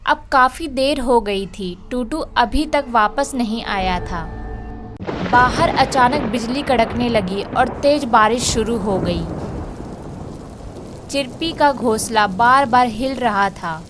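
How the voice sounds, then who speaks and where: A woman narrating a children's cartoon story, speaking slowly and clearly in a storytelling way. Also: a little high, music in the background.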